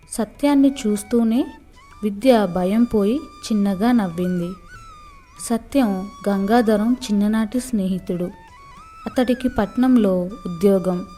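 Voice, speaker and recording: A woman's voice: mid-range voice, mellow. A woman reading a scripted moral story for children as a storyteller.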